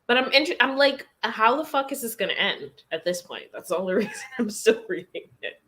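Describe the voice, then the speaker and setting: A woman's voice: on the high side, lively intonation, fairly quick, bright, forceful tone. A woman in a casual chat about books, speaking informally with slang and some swearing. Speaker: guest on a book reading livestream.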